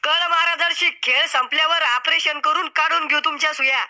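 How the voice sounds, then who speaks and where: A man's voice: extremely high pitch, fairly even intonation, moderate pace, heavy background noise. A man voicing a sarcastic mock game show host in a cartoon, announcing in a mock-formal tone. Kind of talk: cartoon comedy acting.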